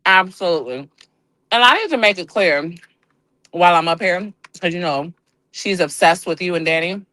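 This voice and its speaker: A woman's voice: fairly low pitch, lively intonation, normal speed, sharp tone, dry recording, poor audio. A woman guest on a livestream, chatting casually and sassily from a truck stop while on the road for work. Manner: sassy, calls people girl and honey. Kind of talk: casual chat.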